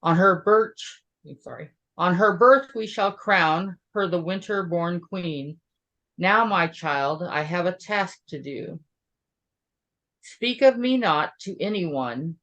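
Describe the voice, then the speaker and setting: A woman voicing a gentle, reassuring witch of the solstice in a storybook poem. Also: on the low side, expressive, slow, lo-fi sound, exaggerated voice.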